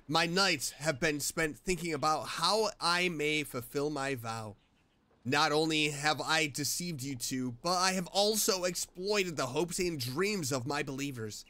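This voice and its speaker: A man's voice: a little high, bright, forceful tone. A man reading the confession letter of a penitent male swindler from a video game, formal, earnest and solemn. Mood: regretful. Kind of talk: dramatic reading of game text. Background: faint game music.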